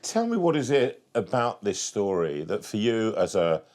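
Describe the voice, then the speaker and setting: Guy hosting an interview, low voice, earnest, stops and restarts, repeats words to stress them. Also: big pitch swings, normal speed, darkish tone. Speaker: interviewer, host. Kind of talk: conversational interview.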